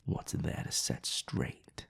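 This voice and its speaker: A man voicing a haughty, coolly disdainful male vampire, sardonic and formal, in a hushed, breathy audio roleplay performance. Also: slow, in a whisper, close to an everyday voice. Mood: contemptuous. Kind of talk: dramatic audio roleplay acting.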